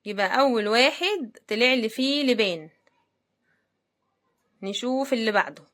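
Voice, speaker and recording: A woman with a slightly high voice and an Egyptian Arabic accent hosts an unboxing video. She talks in a casual, chatty way, addressing her viewers directly as she comments on products. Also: lively intonation, normal speed, fairly bright tone.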